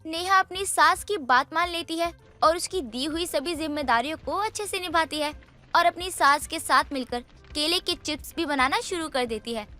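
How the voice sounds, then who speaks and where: A woman's voice: sits high, normal speed, pushed and bright, soft background music. A woman working as a story narrator, reading a scripted bedtime moral tale.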